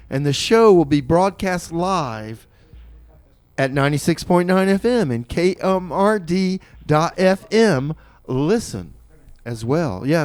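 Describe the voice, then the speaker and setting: A man works as a community radio host. His voice sits a little high, and he announces in a loose, informal ramble, doubling back on phrases. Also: clean tone, dry recording. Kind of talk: casual, rambling music-show announcing.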